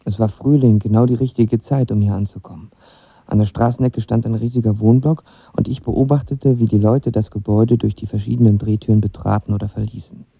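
A man's voice: fairly low pitch, fairly even intonation, brisk, gravelly, slightly rough. An actor playing a lovestruck, nervous young man who tells his story in casual, slangy radio drama narration. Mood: wistful, excited. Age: young adult. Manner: casual, slangy, self-deprecating. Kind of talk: first-person radio drama narration with dialogue. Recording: slightly dull audio with music behind.

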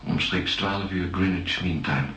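Distant, echoey, deep-voiced astronaut. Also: male voice, unhurried, sharp tone, slight background noise, full character voice. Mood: grave, factual. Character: male astronaut giving a taped, factual account. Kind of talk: science fiction radio drama acting. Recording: echoey, distant taped playback, music.

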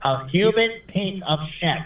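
A nasal-voiced man calls in to a show, his voice fairly high and coming through a tinny, distant phone line. He stumbles over his opening words before getting his point out. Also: expressive, unhurried. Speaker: caller.

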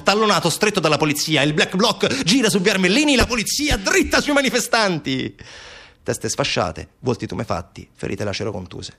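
A man's voice: medium pitch, fairly bright tone. A man performing a dramatic narrative monologue as a stage actor, urgent and rhetorical in delivery. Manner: urgent, rapid-fire delivery with rhetorical repetition and lists. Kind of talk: dramatic monologue, theatrical storytelling for radio.

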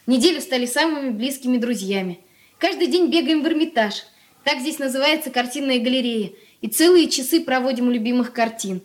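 An actress playing an eager young daughter in a radio play, with a quick, earnest girlish delivery in a roomy, echoing recording. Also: sits high, close to an everyday voice. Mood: earnest reading.

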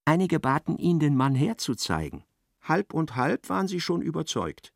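An actor narrating a darkly comic literary radio play as an observant storyteller. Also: male voice, fairly high pitch, very animated, moderate pace, gravelly, no room sound, clean studio sound, heavily acted. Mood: wry narration.

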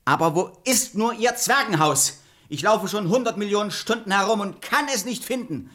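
An actor playing a cheeky, naive, boastful clown in a fairy-tale radio play, exclamatory and clownish. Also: male voice, high-pitched, animated, moderate pace, a bit bright. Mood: lost, grumbling. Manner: exclamatory, clownish, nonsense catchphrases.

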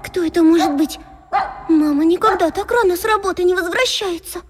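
A woman's voice: very high voice, fairly quick, very noisy. An actress voicing an earnest, anxious straight-A schoolgirl of about eleven in a radio play. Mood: fretful. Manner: anxious, rule-abiding.

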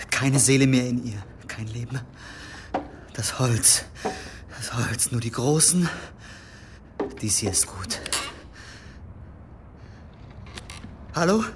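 An actor playing a timid, superstitious monk in a German audio drama, nervous and prone to murmuring. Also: male voice, medium pitch, background noise. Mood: fearful muttering. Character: timid, superstitious monk who tends the pigeons.

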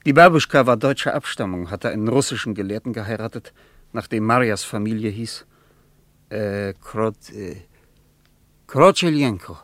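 An actor playing a wistful former soldier in a radio play, recalling a lost love in short, searching phrases. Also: male voice, fairly low pitch, moderate pace, a bit bright, no room sound, close to an everyday voice. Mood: fond remembering. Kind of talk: radio play acting, halting reminiscence.

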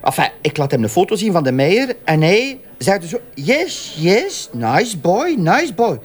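Bumbling, lively Flemish junior policeman. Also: high voice, a little slow, full character voice. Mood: flustered, rambling. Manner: rambling, flustered, naive. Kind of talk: radio drama acting.

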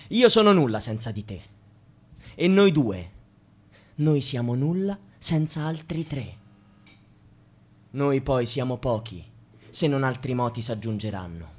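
An actor playing an impassioned protester, declaiming rhymed verse in a rhetorical way in a radio drama, calling on the people. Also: male voice, mid-range voice. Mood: solemn appeal. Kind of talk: theatrical radio drama monologue in rhythmic verse. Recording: music behind the voice.

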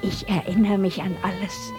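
A woman's voice: mid-range voice, steady tone, normal speed, music in the background, natural voice. An actress playing a proud, frank old woman in a German radio drama, questioning bluntly and insistently. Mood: frank, nostalgic. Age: older. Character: proud, frank old woman, teasing about youthful love. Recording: 1980s radio play on cassette.

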